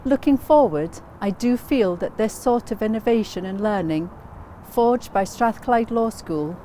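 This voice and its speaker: A woman with a soft, mellow tone delivers a prepared, formal testimonial as an academic director. Her delivery is measured and orderly. Faint noise sits behind the voice. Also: medium pitch, animated. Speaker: university course director. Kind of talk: scripted promotional testimonial.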